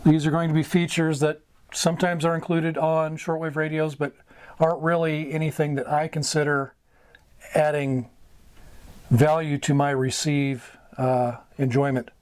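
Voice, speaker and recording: A middle-aged American man with a slightly high voice teaches informally. He moves through a checklist in short, halting phrases. The sound is close and dry, recorded indoors. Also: little pitch movement, a little mellow, smooth, dry recording. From United States. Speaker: amateur radio mentor, video host. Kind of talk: informal instructional explanation at a whiteboard. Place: small wood-panelled room indoors.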